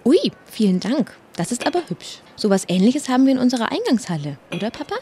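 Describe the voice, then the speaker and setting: An actress playing a picky, haughty, dreamy young princess in a comic radio play. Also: female voice, a little high, sing-song, quick pace, warm tone, slight background noise. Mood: pleased.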